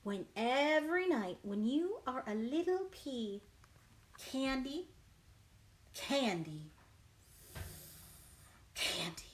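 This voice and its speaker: Very animated, high-voiced woman reading to children. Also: slow pace, long pauses. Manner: animated, exaggerated, questioning the young listeners directly. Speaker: children's librarian. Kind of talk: children's read-aloud storytime. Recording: noisy livestream recording.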